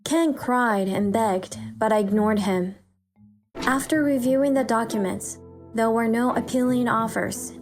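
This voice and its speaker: High-voiced woman narrating a story.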